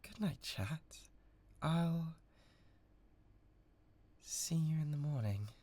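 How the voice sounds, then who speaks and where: A young British man voicing an obsessive, menacing young male streamer in an ASMR audio drama, swinging between whispers and shouts. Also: a little high, lively intonation, drawn-out words, heavily acted. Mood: hushed. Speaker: voice actor.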